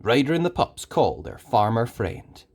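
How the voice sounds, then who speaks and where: A storyteller reading a children's book aloud in an expressive, dramatic way with deliberate emphasis. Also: medium pitch. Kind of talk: animated scripted children's story narration.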